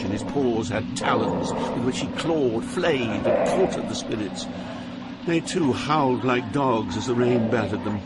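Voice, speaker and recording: Man as grave, low-voiced poet narrator. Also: heavy background noise, close to an everyday voice. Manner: deliberate, grave, dramatic pauses. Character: awed, solemn poet recounting journey through hell. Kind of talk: dramatized scripted narration.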